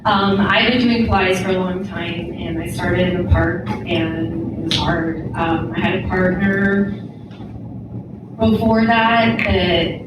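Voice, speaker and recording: A woman's voice: fairly low pitch, fairly even intonation, slow pace, dull audio. A woman in her forties and a studio owner, talking casually in an interview, with lots of fillers and laughs. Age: 40s. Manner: chatty, filler-heavy, laughs often. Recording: roomy echo from far off, loud noise.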